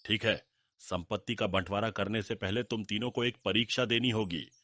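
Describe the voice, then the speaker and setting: A man voicing an elderly landlord father in a story cartoon, husky, measured and commanding. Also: on the low side, fairly bright tone, no echo, lightly acted. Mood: instructing.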